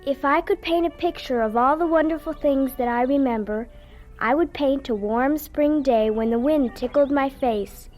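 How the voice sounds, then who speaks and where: Woman playing a high-voiced, dreamy ten-year-old farm girl. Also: a little mellow, lightly acted. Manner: childlike, folksy, wistful storytelling. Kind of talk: radio drama first-person narration and dialogue.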